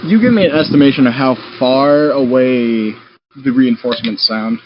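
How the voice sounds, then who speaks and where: A man's voice: medium pitch, moderate pace, highs cut off, a little hiss or hum. A man chatting casually as a player in a tabletop game.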